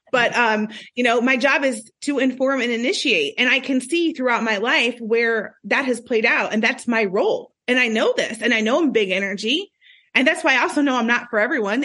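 A woman's voice: fairly high pitch, a bit bright. A woman speaking as a podcast guest in a casual, earnest, self-assured way, often checking for agreement. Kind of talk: casual reflective conversation.

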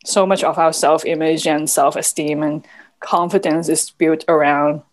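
A woman's voice: low-pitched, steady tone, moderate pace. A woman whose first language is Cantonese, speaking as a podcast guest in a thoughtful, reflective way with frequent fillers. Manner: thoughtful, soft-spoken, many "like" fillers, quiet laughs. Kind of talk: reflective conversation.

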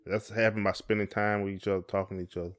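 Podcast co-host: middle-aged man, lower register, relaxed wording, conversational and colloquial. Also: quick pace. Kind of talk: casual chat.

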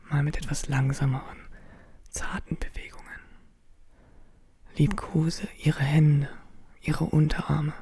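A woman in a radio play whispering slow, soft, intimate instructions. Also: low-pitched, slightly rough, no echo. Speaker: performer in an audio play.

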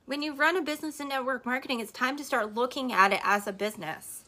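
A woman hosting a podcast, giving emphatic, repetitive business advice straight to her listeners, her voice slightly hoarse. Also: high-pitched, fast talker, bright, forceful tone. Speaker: podcast host and network marketer. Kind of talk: motivational business talk on a live stream.

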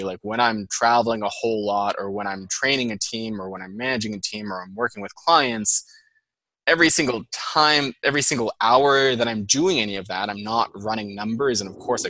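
A man's voice: on the low side, bright, forceful tone, no echo, rough recording. A man with a Boston accent, a guest on an interview show, explaining things in a chatty, rambling way full of "like"s. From Boston, USA. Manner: chatty, fast-flowing explanations with many "like"s. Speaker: guest, data scientist. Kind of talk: casual interview chat.

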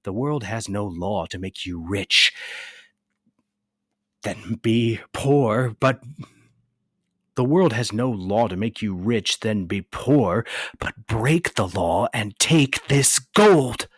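A man playing an anguished, resolute young nobleman in a dramatic reading of a stage tragedy, declaiming deliberately. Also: on the low side, expressive, slightly rough, dry recording, studio quality, exaggerated voice. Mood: urgent, forceful.

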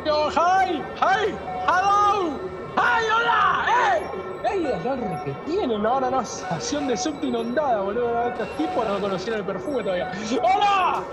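An actor plays an excitable field reporter in a comic radio drama. The voice is very high, with a Buenos Aires accent and breathless, stammering slang. Loud music and a noisy crowd sit behind it. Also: normal speed. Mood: flustered. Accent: Argentine, Buenos Aires. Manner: breathless, stammering, swearing eyewitness commentary.

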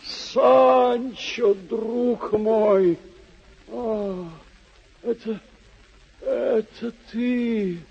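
A man playing a beaten, deluded old knight in a classic radio play, groaning and declaiming in halting, grandiose phrases. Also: extremely high pitch, fairly even intonation, creaky, full character voice. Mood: pained, lofty. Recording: dull old radio recording with music.

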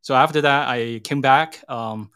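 A man's voice: mid-range voice, unhurried, fairly bright tone, smooth. A middle-aged man with a Hong Kong accent, answering interview questions in a hesitant, explanatory way, often rewording as he goes. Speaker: interview guest, tech company CEO.

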